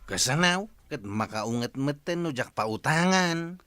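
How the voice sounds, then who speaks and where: Fed-up, scolding man, Ilocano accent, radio drama; bright voice over faint music. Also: on the high side, lively intonation, unhurried, dry recording, clean studio sound. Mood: exasperated. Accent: Ilocano Filipino. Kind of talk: radio comedy-drama acting.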